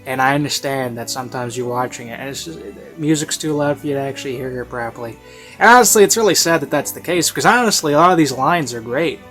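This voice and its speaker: A man's voice: medium pitch, quick pace, fairly bright tone. A man giving a casual, rambling review, hesitant and conversational, often rephrasing himself mid-thought. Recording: classical music playing behind. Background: baroque classical music.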